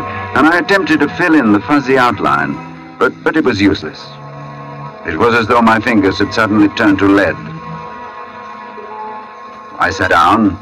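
An actor playing a brooding, haunted middle-aged artist in an old-time radio suspense drama, narrating in formal, deliberate phrases with dramatic pauses. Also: male voice, mid-range voice, natural voice, low-quality recording. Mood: uneasy, troubled. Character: brooding forty-year-old male artist, haunted, precise, foreboding. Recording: vintage radio broadcast recording.

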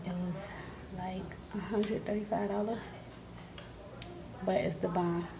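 A woman's voice: mid-range voice, steady tone, unhurried, crowd noise. A woman in her thirties or forties keeping her voice down as she gives a casual vlog-style product demonstration from her living room. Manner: hushed, keeping voice down. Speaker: vlogger. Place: living room at home, indoors. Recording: noisy home camera recording.

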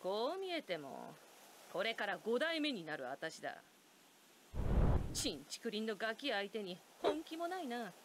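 A woman's voice: mid-range voice, sing-song, measured pace, very noisy. An actress playing a tough, proud female ninja leader in a game cutscene, speaking bluntly and with command. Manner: commanding, blunt, gruff speech. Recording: loud music bed.

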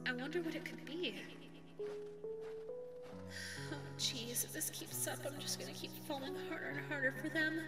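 A woman's voice: high-pitched, fairly even intonation, quick pace, over loud music, natural voice. A woman voicing a sweet, flustered, lovestruck young female dog secretary in an ASMR audio roleplay, stammering and self-effacing. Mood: lovestruck, anxious. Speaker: voice actor.

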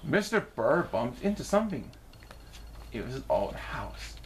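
A woman reading a children's story aloud in an expressive narrating style, with noise behind her. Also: very deep voice, a little slow. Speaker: storybook reader.

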